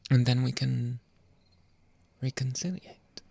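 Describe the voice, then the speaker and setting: A man playing a jealous, controlling young boyfriend in an intimate ASMR roleplay, halting and pleading, often dropping toward a whisper. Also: mid-range voice, gravelly, mellow, husky, full character voice. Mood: conceding, evasive. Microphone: close, dry microphone.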